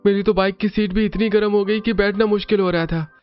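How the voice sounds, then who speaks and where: A man puts on a young man of a cartoon household, high and excitable. He is dramatic and easily flustered, on slightly muffled audio with music underneath. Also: fast talker, smooth, close to an everyday voice. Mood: overheated, complaining. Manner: exclaiming, hesitant stammering when pressed.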